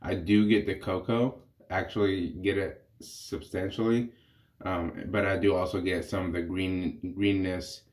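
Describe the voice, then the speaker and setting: A man's voice: low voice, unhurried. A man in his thirties, congested from a cold, casually explaining his shave to the camera in a small bathroom. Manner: relaxed, rambling, explains while shaving. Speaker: wet-shaving reviewer. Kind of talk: casual vlog commentary. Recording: echoey bathroom.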